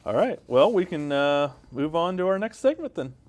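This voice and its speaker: A man co-hosting a comedy podcast in a casual, halting, informal way as he introduces segments. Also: fairly high pitch, very animated, very noisy. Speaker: podcast co-host.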